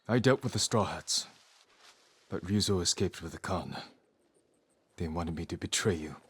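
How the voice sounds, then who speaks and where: A man's voice: low voice, moderate pace, breathy edge. A man acting an earnest, resolute young samurai lord in a game cutscene, restrained and terse in his speech. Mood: subdued.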